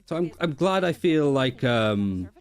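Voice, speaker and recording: A man's voice: mid-range voice, very animated, measured pace, faint music behind. A man from Africa, an Afrikaans speaker, chatting loosely with viewers and swearing freely on a stream. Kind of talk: casual co-stream chat.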